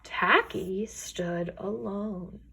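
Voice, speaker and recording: A woman storyteller reading a children's picture book aloud with expressive, deliberate emphasis. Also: medium pitch, vocal fry, breathy edge, low-quality recording.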